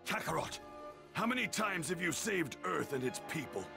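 An actor playing a proud, impatient alien warrior in an anime video game, barking orders scornfully in a gravelly, strained voice. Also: male voice, on the high side, sing-song, normal speed. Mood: stern. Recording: music under the voice.

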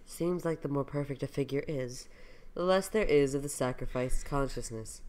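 A dubber voicing a brooding, scheming man who muses slowly and quietly over his plans in a comic dub. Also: mid-range voice, close to an everyday voice. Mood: musing, ominous.

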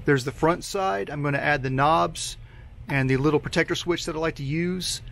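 A man's voice: a little high, moderate pace, gravelly, a bit bright. A man giving an informal, explanatory how-to narration, picked up on a camera's built-in microphone. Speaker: hobby electronics builder and video host.

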